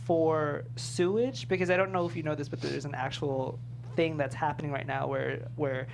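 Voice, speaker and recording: A man's voice: on the high side, fairly even intonation, moderate pace. A man talking casually and asking questions as a panelist. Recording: clear background noise.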